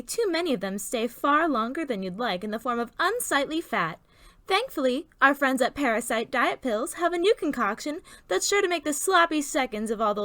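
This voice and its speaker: A woman's voice: on the high side, a bit bright, dry recording. A woman reading a scripted parody sponsor spot as a radio announcer.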